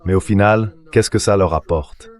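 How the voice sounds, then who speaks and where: Male AI dub voice, deep and low, for a political commentator analysing as a guest on a talk show. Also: normal speed, a little mellow. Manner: synthetic AI voice-over reading. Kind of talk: political analysis, dubbed translation.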